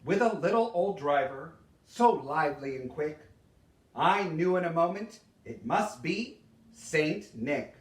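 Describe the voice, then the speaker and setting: Male storyteller for theatrical verse, higher-pitched, unhurried and dramatic, recorded from a distance. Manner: dramatic, expressive storytelling with deliberate pauses. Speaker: storyteller reading a Christmas poem. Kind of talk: theatrical poetry recitation. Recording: roomy echo, distant microphone.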